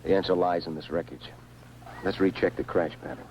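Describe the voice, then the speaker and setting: A man's voice: low-pitched, fairly even intonation, brisk, lo-fi sound, close to an everyday voice. A man acting a grim, self-blaming field engineer in a 1950s science fiction film, speaking in terse, clipped reports. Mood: bitter, troubled. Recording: noisy old film soundtrack.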